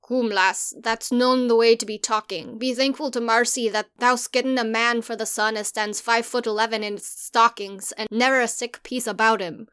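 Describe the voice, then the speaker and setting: A woman's voice: a little high, steady tone, a bit bright, smooth, voice pushed up, natural voice. A woman voicing a worried old farmer. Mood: earnest. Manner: slow, earnest, broad dialect.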